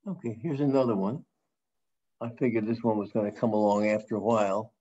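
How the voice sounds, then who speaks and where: An older man moderating a video call Q&A, reading out audience questions in a relaxed, conversational way. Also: on the low side, fairly quick. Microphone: video call webcam. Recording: dull lo-fi call audio.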